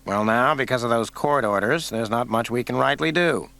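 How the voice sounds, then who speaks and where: A man voicing a cold, smug small-town male coroner with a slow, folksy way of talking. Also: mid-range voice, creaky, pushed and bright.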